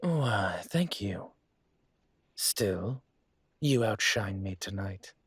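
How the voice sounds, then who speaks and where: Man playing a slow, husky, suave mafia boss. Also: lowish voice, lively intonation, a bit bright, no echo, exaggerated voice. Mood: charmed, flirtatious. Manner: slow, deliberate, teasing. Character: suave male dragon mafia boss in human guise, flirtatious, commanding. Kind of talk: roleplay audio acting, intimate.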